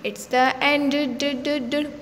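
A woman's voice: sits high, level tone, moderate pace, a bit bright, big-room sound, a little hiss or hum. A woman singing nursery rhymes for children in a repetitive sing-along delivery. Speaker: children's song singer. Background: faint backing music.

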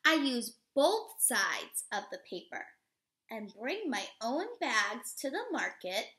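A woman in her thirties, a teacher reading a picture book aloud to young children in an expressive, instructive way. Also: on the high side, takes it slowly. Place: living room at home, indoors.

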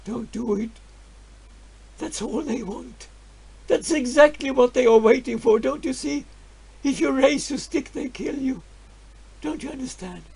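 A man voicing a frightened peasant wife, pleading urgently and bitterly in a hushed voice. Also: very high-pitched, unhurried, creaky, long pauses, breathy edge, voice pushed up, exaggerated voice.

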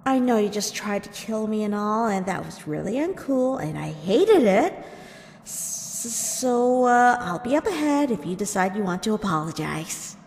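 A woman voicing a kind, timid human girl in an animated comic dub, gentle, hesitant, earnest and forgiving. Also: mid-range voice, unhurried, slight background noise. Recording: long reverb, music behind the voice.

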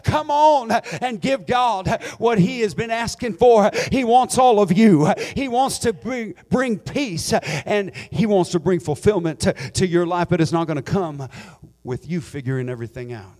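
A middle-aged American man preaching a Pentecostal sermon in a church sanctuary on a handheld mic, his delivery swelling from quiet scripture reading to near-sung, shouted appeals. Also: sits high, lively intonation, a little slow, few pauses. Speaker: pastor. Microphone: handheld microphone.

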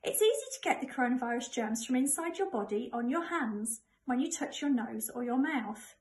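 Middle-aged English woman, notably high voice, primary school storytime read-aloud. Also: animated, a little fast, darkish tone. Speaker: primary school staff member reading to pupils. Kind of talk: children's book read aloud.

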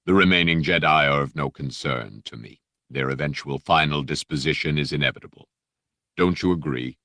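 Menacing dark lord, played by a male narrator: extremely low, silky, formal and measured, very dry audiobook sound. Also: no echo, heavily acted. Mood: cold, dismissive. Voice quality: deep, rich, silky, mellifluous. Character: menacing, coldly polite armored dark lord. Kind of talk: audiobook character voice.

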